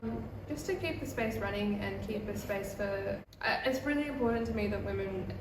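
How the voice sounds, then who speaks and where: A woman's voice: medium pitch, moderate pace, music in the background. Chatty young woman in an echoey room. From Australia. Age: 20s. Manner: relaxed, chatty, with many fillers like "um" and "like". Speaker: comedian and comedy night producer. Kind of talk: casual interview answers. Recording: echoey room, mic far off, noisy background.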